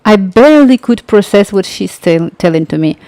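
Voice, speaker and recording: A young woman hosting a livestream, chatting casually and telling a story with lots of filler words and halting phrasing. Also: medium pitch, animated, warm tone, no echo. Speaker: livestream tutorial host.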